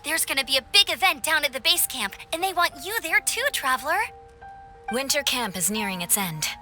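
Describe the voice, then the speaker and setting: A perky young messenger for a video game. Her voice is high, very bright and swings freely in pitch. She sounds friendly, upbeat and eager to help. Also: fairly quick, soft background music, heavily acted. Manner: upbeat, lively. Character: cheerful, energetic young female messenger, friendly and helpful. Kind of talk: video game cutscene acting.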